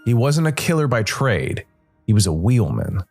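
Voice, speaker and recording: A man playing a brooding jazz musician turned supernatural investigator, telling his case in measured first-person noir narration. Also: mid-range voice, big pitch swings, darkish tone, faint music behind, voice pushed up. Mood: moody, grave.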